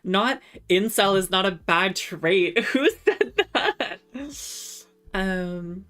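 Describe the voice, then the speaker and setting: A woman's voice: mid-range voice, extremely slow, a bit bright. A young woman with a Californian accent that has drifted over time, chatting casually on a live stream and reading out viewer comments. She rambles with many ums and restarts and laughs often, and she says she is feverish. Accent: Californian American, shifted by time abroad.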